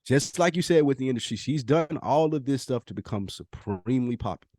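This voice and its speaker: Male podcast co-host, medium-pitched, dry close sound, opinionated and lively. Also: fairly quick, dry recording. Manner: animated, opinionated, slips into quoted voices. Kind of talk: casual chat.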